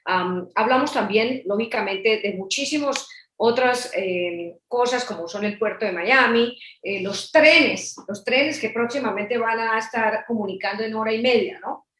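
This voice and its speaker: A woman from Colombia gives a promotional webinar talk, reeling off facts and figures. Her voice sits on the high side. The room around her is reverberant. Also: measured pace, fairly bright tone. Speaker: real estate presenter. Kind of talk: webinar presentation.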